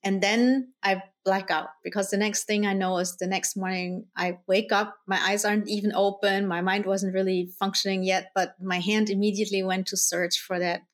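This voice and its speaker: A woman's voice: medium pitch, flat delivery, moderate pace, few pauses. A middle-aged woman from Germany telling a personal story in a conversational way as an interview guest. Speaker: guest, spirit guide channeler.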